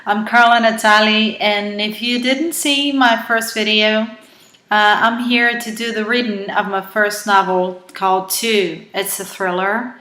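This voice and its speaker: A woman with a heavy Brazilian Portuguese accent reads her own thriller aloud, her English careful and halting. She stumbles now and then and goes back to fix a word. Her voice sits at a middle pitch, and it rings in a roomy, echoing space. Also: bright, forceful tone. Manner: careful, halting delivery with frequent self-corrections. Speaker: author reading her own novel. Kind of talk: reading a thriller novel aloud. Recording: roomy echo.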